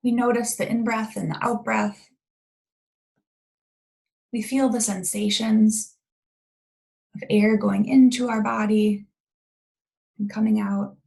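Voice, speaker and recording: A woman leading a guided meditation in a calm, gentle, unhurried way with long pauses and a creaky voice. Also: mid-range voice, low-quality recording.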